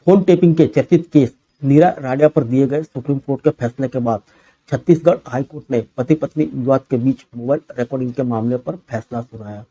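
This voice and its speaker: A man's voice: medium pitch, dark tone, no echo, dull audio. A man reads a scripted news bulletin as the presenter.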